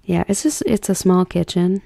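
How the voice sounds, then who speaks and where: Soft-spoken woman, low creaky ASMR voice. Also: normal speed, no echo. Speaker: ASMR host. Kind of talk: soft-spoken ASMR cooking role play.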